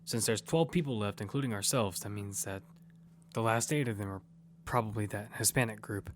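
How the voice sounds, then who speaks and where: A man's voice: on the low side, fairly quick, slight background noise, natural voice. An actor playing a wary young man who narrates and speaks in a battle-survival audio drama. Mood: cautious, serious. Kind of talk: first-person audio drama narration and dialogue. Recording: music behind the voice.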